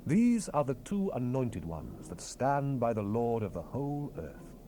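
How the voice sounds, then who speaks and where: Man acting a solemn angel, loud and swelling. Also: mid-range voice, big pitch swings, measured pace. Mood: stern, proclaiming. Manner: declamatory, grave. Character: solemn, authoritative angel messenger explaining visions. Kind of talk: dramatized scripture recording. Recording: hissy 1970s cassette rip.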